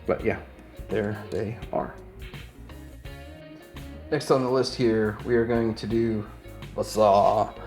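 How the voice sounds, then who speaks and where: A man's voice: fairly low pitch, fairly even intonation, slow, long pauses, a little mellow. A man presenting an unscripted product review, rambling in an easygoing way as he thinks aloud while handling items, with music behind him.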